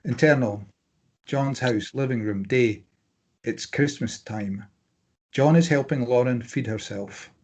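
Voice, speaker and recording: A man's voice: medium pitch, slow pace, a little mellow. A man reading stage directions as scripted narration at a drama table read.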